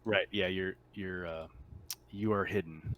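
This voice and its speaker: A man running a casual tabletop roleplaying game as game master, explaining in a halting way with repeats and rephrasing. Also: low-pitched, fairly even intonation, a bit bright, muffled recording.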